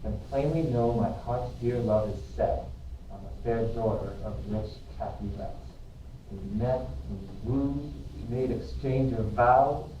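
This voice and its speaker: A man acts as a young nobleman, earnest and in love, in an amateur Shakespeare reading. The voice is mid-pitched and soft-edged, very echoey. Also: measured pace, close to an everyday voice. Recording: very echoey, distant, dull, faint noise.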